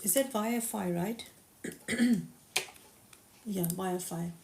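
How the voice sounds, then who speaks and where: A woman hosting a casual live stream who reads viewers' questions aloud and answers them briskly and confidently, mixing English and Urdu. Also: mid-range voice, creaky, noisy background. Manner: confident, matter-of-fact market opinions. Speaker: crypto livestream host.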